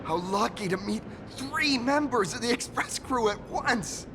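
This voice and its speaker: High-pitched, gushing young hero fanboy. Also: male voice, expressive, measured pace, noisy background. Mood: starstruck, thrilled. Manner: gushing, stammering when flustered. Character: excitable starstruck young male actor-turned-masked-hero, earnest and humble. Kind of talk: anime-style video game voice acting.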